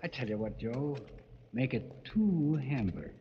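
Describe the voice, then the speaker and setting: An actor playing a genial, teasing husband in 1940s radio drama, with a coaxing, folksy, slangy delivery. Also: male voice, mid-range voice, big pitch swings, a little slow. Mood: insistent. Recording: muffled, reverberant, hissy old radio recording.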